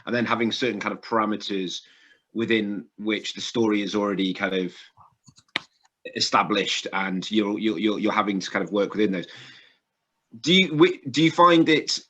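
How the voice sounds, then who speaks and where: A man's voice: lowish voice, a bit bright, breathy edge. A middle-aged man in a workshop discussion on a video call, casually and hesitantly feeling his way through a question. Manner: hesitant, rephrasing as he goes. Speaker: workshop participant. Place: indoor room at home. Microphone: computer mic on a video call. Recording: dull lo-fi video-call audio.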